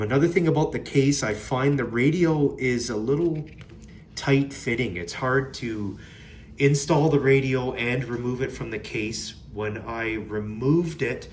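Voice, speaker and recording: A man's voice: medium pitch, measured pace, slight background noise. A man giving a casual, unscripted product review in a plain-spoken, mildly critical way. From Canada.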